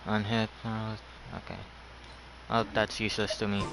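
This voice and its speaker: A man's voice: lowish voice, little pitch movement, normal speed, creaky, noisy background. A man giving casual, offhand commentary as he plays a video game. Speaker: gameplay streamer.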